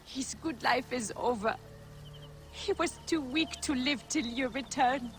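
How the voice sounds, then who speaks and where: High-pitched mourning villager. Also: female voice, a little slow, soft background music. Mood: grieving, grateful. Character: bereaved, grateful villager mourning a father. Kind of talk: dramatic video game acting.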